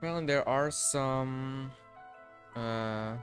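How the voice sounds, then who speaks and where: A man giving casual, halting livestream commentary on a game, rambling and often trailing off mid-thought. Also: medium pitch. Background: background music.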